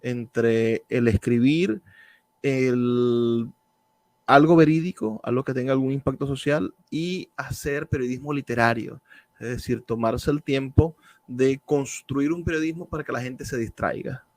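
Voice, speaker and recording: A man hosting a literary radio program, asking questions and reading announcements in a clear, inviting way. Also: mid-range voice, unhurried, darkish tone, no room sound. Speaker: radio show host.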